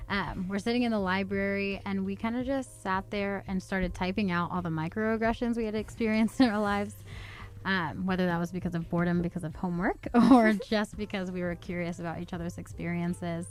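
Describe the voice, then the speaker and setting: Young woman, mid-pitched, chatting casually. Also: fairly even intonation, fairly quick, smooth. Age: young adult. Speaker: student podcast guest. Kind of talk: casual conversational anecdote.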